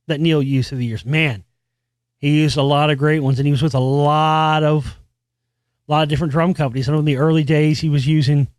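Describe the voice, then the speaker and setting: A man hosting a podcast alone, talking in a loose, rambling way with restarts and casual swearing. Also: no echo. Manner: experienced singer with a high singing voice. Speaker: podcast host. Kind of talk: casual solo monologue about music.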